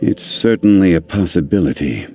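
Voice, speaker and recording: An actor playing a calm, learned gentleman investigator in a video game, speaking formally and deliberately. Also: deep voice, music in the background. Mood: measured, analytical. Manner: measured, formal, deliberate, analytical.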